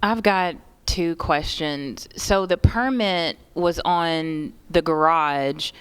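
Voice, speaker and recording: A woman's voice: low-pitched, takes it slowly. A woman board member asking direct clarifying questions. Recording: noisy meeting room audio.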